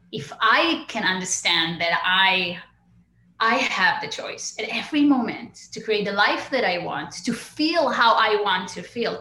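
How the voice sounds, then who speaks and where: Woman from israel telling her story, lots of ums and restarts, bright tone, roomy echo. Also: mid-range voice. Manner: open, reflective, many fillers and restarts. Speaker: guest. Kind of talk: personal story in an interview.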